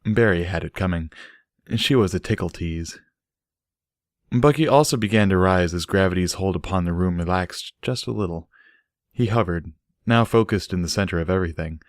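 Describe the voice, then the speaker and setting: A man with a low voice narrates an audiobook in a measured, earnest manner. The sound is dry and close, with very little room on it. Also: dry recording. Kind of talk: scripted story narration.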